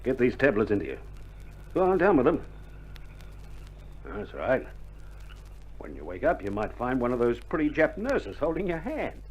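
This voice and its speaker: A man's voice: mid-range voice, huge pitch swings, normal speed, pauses often, breathy edge. An actor playing a wry, reassuring Australian army male nurse in a wartime radio drama, with laconic, joking banter, heard on an old vinyl record. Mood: soothing, wry. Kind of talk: 1960s radio drama acting. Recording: old vinyl recording, dull band, faint noise.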